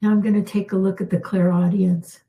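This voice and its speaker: A middle-aged woman, a healer, leading a calm guided spiritual healing with unhurried talk and soft pauses, her voice slightly worn. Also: mid-range voice, narrow, tinny audio. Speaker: intuitive healer hosting a live session.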